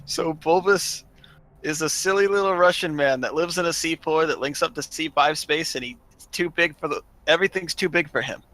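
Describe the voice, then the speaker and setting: A man casually telling gaming stories in an animated, emphatic way, swearing freely. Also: on the high side, moderate pace, rough recording. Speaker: online gaming group member telling stories.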